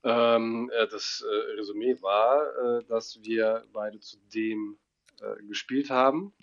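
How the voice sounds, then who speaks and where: A man's voice: lowish voice, steady tone, vocal fry, highs cut off. A man with a cold, a podcast guest who talks hesitantly and laughs often. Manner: hesitant, halting, laughs a lot. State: has a cold.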